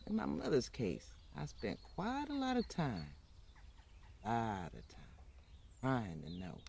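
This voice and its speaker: A woman playing an outspoken, unapologetic former maid, telling her story in a folksy, plainspoken way with dramatic pauses, in old-time radio style. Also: a bass voice, sing-song, creaky, darkish tone, a little hiss or hum, heavily acted. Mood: reflective.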